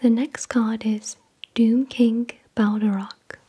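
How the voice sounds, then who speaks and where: A woman hosting ASMR, reading out descriptions in a breathy whisper with careful, soothing enunciation. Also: a little high, level tone, takes it slowly, warm tone. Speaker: ASMR video host. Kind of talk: soft-spoken ASMR card unboxing, reading descriptions.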